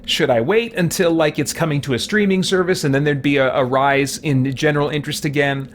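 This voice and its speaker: A man's voice: on the high side, a little fast. Man rambling casually over background noise. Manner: rambling, thinking aloud. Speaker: livestream gamer and host. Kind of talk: casual let's-play commentary while reading chat.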